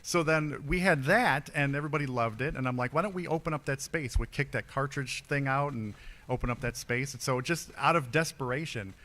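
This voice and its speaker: A man's voice: mid-range voice, a little fast, bright, forceful tone. A man on a convention panel telling, with many fillers and restarts, how his arcade business began, speaking into a handheld microphone. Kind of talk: casual panel storytelling.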